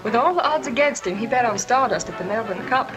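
A woman's voice: on the high side, lively intonation, fast talker, over music, natural voice, low-quality recording. An actress playing a bubbly, teasing young woman in a 1930s film.

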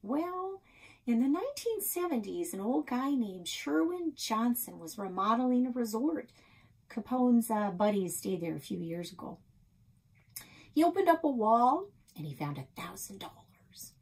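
A woman's voice: a little high, sing-song, a little slow, a little mellow, slightly rough, pitched above the performer's own voice, full character voice, low-quality recording. An American woman voicing a chatty, gossipy small-town grandmother who runs a shop, in a storybook read-aloud.

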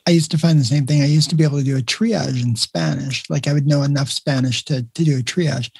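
A man's voice: on the high side, steady tone, quick pace, creaky, a little mellow, husky, no echo, clean studio sound. A man hosting a podcast interview, asking easygoing questions and giving short affirmations. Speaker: podcast host. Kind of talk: casual interview chat.

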